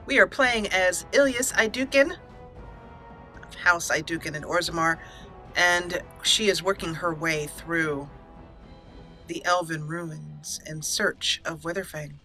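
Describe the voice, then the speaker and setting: A woman talks her way through a video game in a playful, chatty manner, laughing often and reading the on-screen text aloud. Her voice is bright and forward, and faint game music plays underneath her. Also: on the low side, takes it slowly. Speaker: let's play host. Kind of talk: gameplay commentary.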